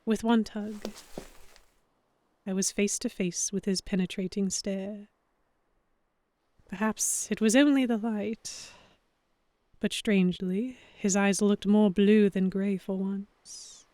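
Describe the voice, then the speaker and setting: Woman as expressive young heroine, close dry sound. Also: medium pitch, takes it slowly, long pauses, dry recording, close to an everyday voice. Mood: breathless longing. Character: young heroine narrating a pirate romance, flustered. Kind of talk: first-person dramatic audiobook narration.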